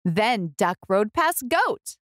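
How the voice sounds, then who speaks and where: A woman reading a picture book aloud for young children, deliberate and expressive. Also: mid-range voice, no echo, clean studio sound. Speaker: children's book reader and host.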